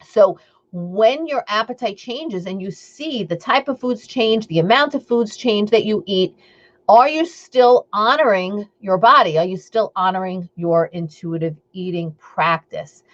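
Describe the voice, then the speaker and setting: A woman's voice: medium pitch, unhurried, dull audio. Chatty woman with a Long Island accent. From Long Island, New York. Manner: chatty, upbeat, rambling with fillers and asides. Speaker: intuitive eating coach hosting a live stream. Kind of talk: casual live-stream talk to viewers.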